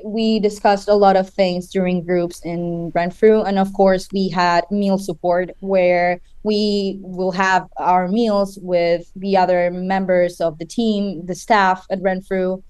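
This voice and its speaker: A woman in her twenties from Chile, a guest in an interview, speaking earnestly with halting restarts. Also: fairly low pitch, flat delivery, a little mellow, smooth, no echo. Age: 20s.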